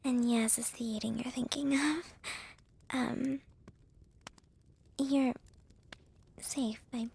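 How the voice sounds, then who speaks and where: Woman playing a shy vampire girl, slow breathy whisper. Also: a little high, fairly even intonation, slight background noise. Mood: apologetic, awkward. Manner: hesitant, halting, soft-spoken. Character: shy, gentle young female vampire with a crush. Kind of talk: whispered ASMR roleplay.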